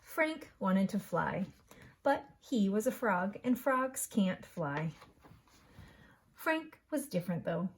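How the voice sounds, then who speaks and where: Woman principal reading a kids picture book out loud, expressive, takes her time. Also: medium pitch, slow. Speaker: school principal reading aloud. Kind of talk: children's storybook read-aloud.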